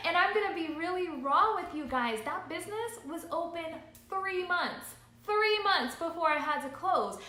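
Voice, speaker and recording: A woman in her thirties speaking as a business coach in a motivational livestream, with a fairly high voice. She is earnest and emphatic and drives her points home by repeating them. The sound is roomy and echoey. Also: a bit bright. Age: 30s. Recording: roomy echo.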